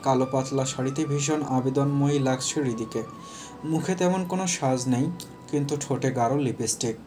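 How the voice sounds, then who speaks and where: A man's voice: mid-range voice, moderate pace, over music. A man narrating a scripted romantic audio story.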